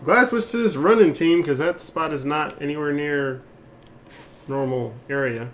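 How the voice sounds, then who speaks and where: A man's voice: on the high side, moderate pace, a bit bright. A man doing casual gameplay commentary as a streamer, rambling and thinking aloud. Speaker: game streamer. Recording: clear background noise.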